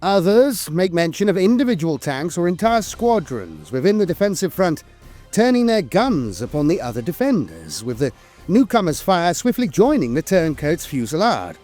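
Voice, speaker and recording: Higher-pitched man narrating lore, lively intonation. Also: normal speed. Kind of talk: scripted documentary-style lore narration. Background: music.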